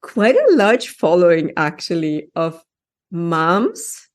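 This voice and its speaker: A woman hosting a podcast on language, chatting casually and asking long, lively questions. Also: lowish voice, slow. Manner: lively, laughs often, asks long questions. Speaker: podcast host, linguist. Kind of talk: casual interview.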